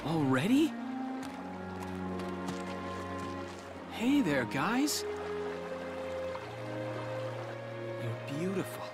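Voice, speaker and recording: Mild, dreamy young man, unhurried. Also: on the high side, sing-song. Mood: dreamy, friendly. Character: gentle absent-minded young man who sketches nature. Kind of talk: video game voice acting. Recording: music behind the voice.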